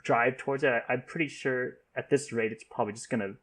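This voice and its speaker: A man hosting a casual podcast discussion, speaking haltingly with repeated false starts and stammers. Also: medium pitch, steady tone, a bit bright. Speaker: podcast host.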